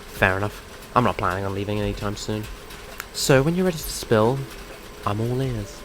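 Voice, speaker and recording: An actor playing a brash, teasing monster hunter and comic book nerd in an audio drama. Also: male voice, fairly low pitch, lively intonation, moderate pace, a bit bright, a little hiss or hum, rough recording. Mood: probing.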